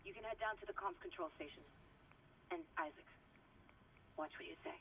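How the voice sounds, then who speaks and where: A man's voice: sits high, fairly quick, sharp tone, lo-fi sound. An actor voicing a tense male engineer who gives urgent instructions over a radio link in a game. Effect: radio comms. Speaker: ship crew member on radio. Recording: distant radio voice under game noise.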